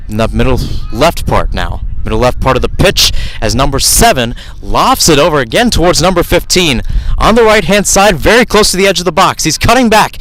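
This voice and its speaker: A man's voice: on the high side, fairly bright tone, rough recording. A man calling a live soccer match as a radio play-by-play commentator, talking in a conversational, improvised way with asides and self-corrections.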